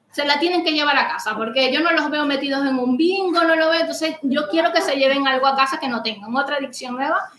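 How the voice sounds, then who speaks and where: A woman with a Venezuelan accent hosting a live comedy show in a joking, animated manner. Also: high voice. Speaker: podcast host, comedian. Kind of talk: live stand-up style hosting before an audience. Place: live comedy venue with audience. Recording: echoey live venue, clearly noisy.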